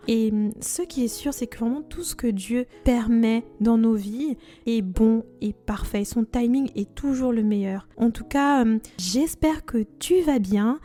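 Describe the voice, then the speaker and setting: A woman's voice: fairly high pitch, dark tone. A woman in her twenties, originally from Congo, hosting a faith podcast in an earnest, devout and chatty manner with frequent fillers. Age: 20s. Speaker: podcast host.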